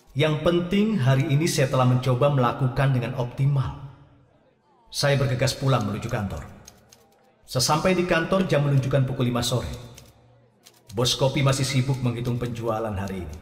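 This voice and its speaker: A man's voice: mid-range voice, roomy sound, long reverb tail, distant mic. A man narrating his own story in the first person, earnest and speaking straight to his listeners in a scripted audio drama. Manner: earnest, addressing listeners directly.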